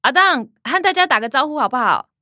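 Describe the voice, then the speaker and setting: An actress playing a perky young Taiwanese granddaughter who talks like a cheery video presenter, in a radio drama. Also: female voice, high voice, lively intonation, quick pace, sharp tone, no room sound, clean studio sound. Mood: perky, presenting. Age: young adult.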